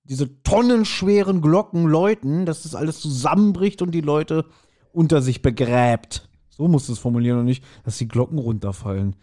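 A man's voice: fairly high pitch, normal speed, mellow. A man co-hosting a podcast in casual chat, speculating and playfully nitpicking with a joking manner. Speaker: podcast co-host. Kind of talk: casual chat discussing an audio drama.

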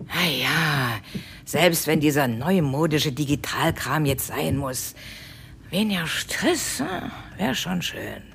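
An actress playing a stubborn, gossipy older utility clerk in a comic audio drama, theatrical and mock-indignant. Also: female voice, deep voice, lively intonation, takes it slowly, vocal fry, slight background noise, heavily acted. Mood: grudging.

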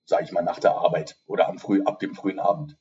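A man's voice: low-pitched, steady tone, fast, darkish tone, lo-fi sound. A man hosting a casual podcast interview. He chats, teases his guest and laughs easily. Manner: chatty, teasing, laughs easily. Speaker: podcast host.

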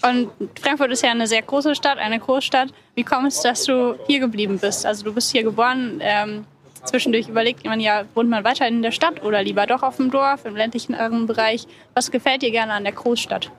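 A woman's voice: a little high, fairly even intonation, fairly quick. A woman interviewing guests at an event, asking friendly, chatty questions.

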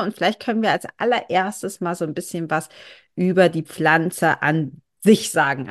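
A woman co-hosting a podcast while she has a cold, talking in an enthusiastic, rambling way with fillers. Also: medium pitch. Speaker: podcast co-host. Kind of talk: casual podcast chat.

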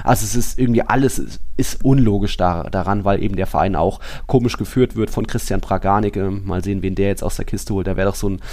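A man's voice: sits low, fast talker, few pauses, dark tone. A man hosting a football podcast, talking casually and loosely as he recaps, with asides along the way. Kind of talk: casual sports podcast discussion.

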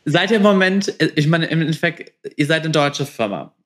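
A man's voice: a little high, fairly quick, fairly bright tone. A man hosting a podcast interview, asking casual questions in a colloquial way with some English slang.

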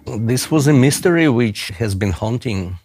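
A middle-aged man with a Russian accent, a professor giving measured, deliberate interview answers with occasional fillers. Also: medium pitch, lively intonation, a little mellow, slightly rough, dry recording, faint music behind. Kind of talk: interview answers, recounting a case.

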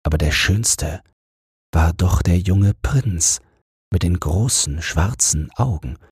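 A man with a husky voice narrating a bedtime fairy tale as an audiobook, unhurried and soothing. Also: darkish tone, dry recording. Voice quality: husky, very low.

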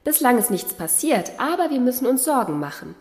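Female actor as composed princess, kind, matter-of-fact, raised pitch, varied intonation, children's radio play. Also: big pitch swings, brisk, a little mellow, husky, heavily acted. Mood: concerned. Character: kind, composed princess who gives orders. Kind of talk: German fairy-tale audio play acting.

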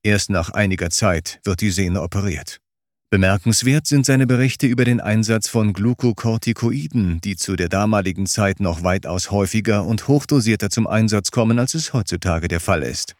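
A man narrating a scripted documentary in a measured, factual way. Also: lowish voice, no echo, clean studio sound.

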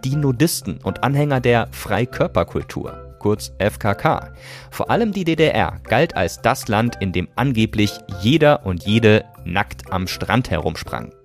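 A man's voice: fairly low pitch, animated, a little slow, faint music behind. A man hosting a podcast, presenting scripted narration with some conversational phrasing. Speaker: podcast host.

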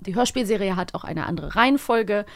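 A woman's voice: medium pitch, lively intonation, fairly quick, no echo. A woman chatting casually as co-host of a podcast. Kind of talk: casual chat.

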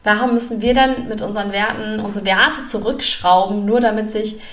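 A woman's voice: medium pitch, steady tone, fairly quick, a bit bright. A woman, a podcast co-host chatting casually in a frank, opinionated way. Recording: roomy, distant mic with background noise.

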